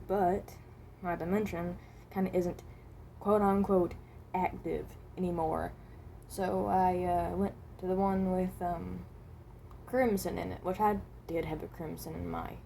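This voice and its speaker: A woman voicing a reluctant, resigned animatronic rabbit from another dimension in a fan roleplay, speaking haltingly and correcting herself. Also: fairly low pitch, creaky, warm tone, a little hiss or hum. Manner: hesitant, halting, self-correcting.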